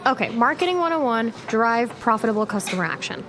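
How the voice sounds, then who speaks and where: Sassy, animated young woman, played by an actress. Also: fairly high pitch, fast, natural voice. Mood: exasperated, sassy. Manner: bickering, exasperated. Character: sassy, stubborn young female marketing student. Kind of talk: audio drama acting. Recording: clear background noise.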